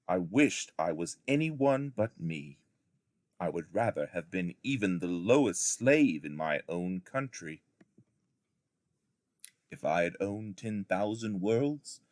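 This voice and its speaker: A man reading a first-person story aloud like a teacher, with measured, dramatic phrasing and deliberate pauses. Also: low voice, a little mellow, no echo. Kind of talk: expressive storybook narration of a first-person memoir.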